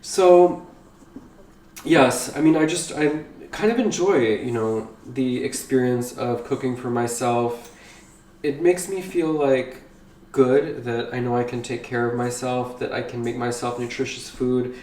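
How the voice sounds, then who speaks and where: A man chatting casually while baking at home, rambling and easily excited. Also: medium pitch, measured pace. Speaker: vlogger. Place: home kitchen. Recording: echoey kitchen, slightly distant mic.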